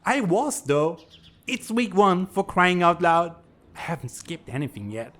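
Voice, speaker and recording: A man's voice: high voice, very animated, measured pace, fairly bright tone, full character voice. A man voicing a hapless, bewildered teenage student in a comic dub, hesitant and exasperated, on a noisy home microphone. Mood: confused. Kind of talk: animated comic-dub voice acting.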